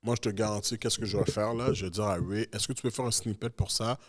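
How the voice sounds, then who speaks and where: A man's voice: fairly low pitch, fairly even intonation, fast talker. A man co-hosting a podcast, cutting in with jokes and playful asides. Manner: joking, playful interjections. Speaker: podcast co-host. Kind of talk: casual interview chat. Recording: noisy background.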